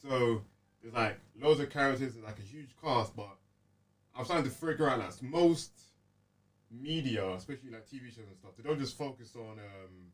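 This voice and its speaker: Male co-host, hesitant reflective chat, mid pitch, slightly distant mic. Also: normal speed. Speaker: podcast co-host. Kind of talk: casual chat.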